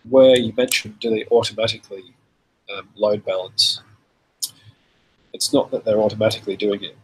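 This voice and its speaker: Man with a cold, rough voice. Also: on the low side, slight background noise, low-quality recording. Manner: relaxed, chatty, reads out viewer questions. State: has a cold, voice a bit rough. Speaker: software developer hosting a live stream. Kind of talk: casual live demo with viewer questions.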